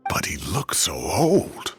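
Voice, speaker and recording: An actor playing an old professor in a video game, exasperated yet kindly, with expressive, old-fashioned turns of phrase. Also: male voice, low-pitched, takes it slowly, exaggerated voice. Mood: astonished. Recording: music behind the voice.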